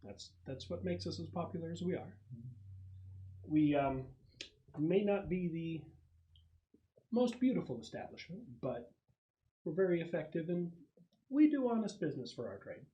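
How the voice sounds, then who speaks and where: A man voicing a composed, businesslike woman who deals in shady trade, polite and measured, in a tabletop roleplaying game. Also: on the high side, lively intonation, mellow, pitched above the performer's own voice, close to an everyday voice, poor audio. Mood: smooth, salesmanlike.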